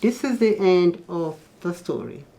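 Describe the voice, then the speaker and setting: Slow, low-pitched woman reading to children. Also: dark tone. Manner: teacherly, explains to children. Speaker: guest storybook reader. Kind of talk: children's picture book read-aloud with asides to listeners.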